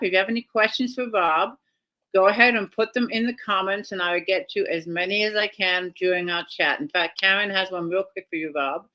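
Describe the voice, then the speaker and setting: A woman hosts a live video chat, chatty and upbeat as she greets viewers and asks questions. Her voice has a bright, clear tone over a video call line. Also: medium pitch. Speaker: host.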